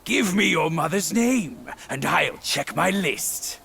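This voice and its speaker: A man voicing a lecherous, boastful, quick-tempered pig demon in a game cutscene, mocking and blustering. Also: a little high, animated, vocal fry, a little hiss or hum, full character voice. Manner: mocking, blustering, shouts when angered. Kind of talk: video game cutscene voice acting.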